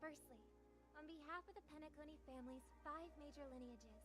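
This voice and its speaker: Female actor as gracious young woman, high-pitched, poised, measured, formal ceremonial address, video game, background music. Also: lightly acted.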